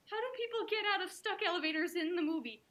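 Actress as exasperated, very high-voiced young woman. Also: expressive, quick pace, a bit bright. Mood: dry. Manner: snappy, dry sarcastic retorts. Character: Alma, exasperated anxious sharp-tongued young working woman. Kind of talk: theatrical old-time radio drama acting.

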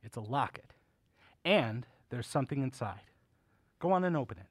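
An actor playing a courtly, whimsical old uncle who runs a curiosity shop in an audio drama, genial and theatrical, with old-fashioned manners. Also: male voice, mid-range voice, lively intonation, normal speed, husky, no room sound, studio quality. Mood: fond, confiding.

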